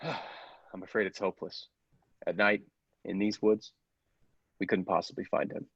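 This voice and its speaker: A man playing a grave, persuasive scientist's assistant in a radio play, deliberate and theatrical, with secretive zeal. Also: sits low, fairly even intonation, a bit bright, husky, no room sound, dull audio, heavily acted. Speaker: podcast actor.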